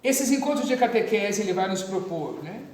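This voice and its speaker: A Brazilian man from São Paulo, a priest, giving an informal training talk to parish catechists, animated and conversational with asides and jokes. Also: high-pitched, moderate pace. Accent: Brazilian Portuguese, São Paulo. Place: parish hall. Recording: echoey parish hall from far off.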